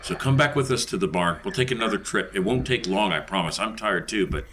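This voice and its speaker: A man's voice: on the low side, lively intonation, brisk, a bit bright. A man playing a tabletop role-playing game, improvising casually in character.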